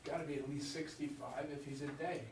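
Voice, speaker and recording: A man's voice: fairly high pitch, steady tone, a little fast, natural voice. A man reading the part of a brash, world-weary gambler husband in an amateur stage play. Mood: weary musing. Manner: blunt, colloquial, grumbling. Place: indoor room at a live reading. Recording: echoey room, distant mic, noisy.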